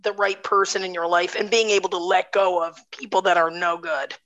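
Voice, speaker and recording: A woman with laryngitis hosting a podcast, talking in a raspy, hoarse voice and laughing often, recorded over a video call. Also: lowish voice, little pitch movement, brisk, a bit bright, low-quality recording. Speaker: podcast host. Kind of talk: casual chat.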